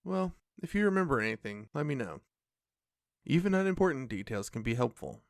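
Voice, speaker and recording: A man playing a calm, protective police officer in an audio drama, measured and reassuring, with a creaky voice. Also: medium pitch, no echo, studio quality. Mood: calm, helpful. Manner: measured, reassuring, matter-of-fact.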